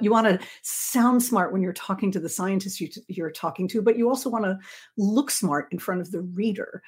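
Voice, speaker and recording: A woman's voice: medium pitch, husky. A woman speaking reflectively and conversationally as a panelist and teacher. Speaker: panelist, science writing teacher.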